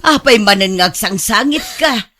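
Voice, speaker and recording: A woman's voice: medium pitch, animated, normal speed, sharp tone, slight background noise. A woman playing a caring, worried middle-aged mother in a radio drama, with a northern Philippine accent. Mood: alarmed, concerned.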